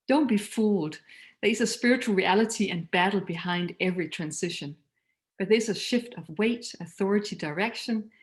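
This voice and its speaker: Earnest Danish-accented woman, measured talk. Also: mid-range voice. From Denmark. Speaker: mission leader. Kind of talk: prepared talk.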